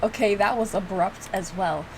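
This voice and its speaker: A woman's voice: mid-range voice, moderate pace, noisy background. A woman giving casual, rambling playthrough commentary, giggly and poking fun at herself. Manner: self-mocking, giggly, rambling. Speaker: video creator reacting to own game.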